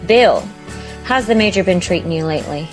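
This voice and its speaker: A woman's voice: on the low side, animated, a little fast, music in the background, close to an everyday voice. A woman playing a confident, determined, slightly ornery young woman in an audio drama. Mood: self-assured.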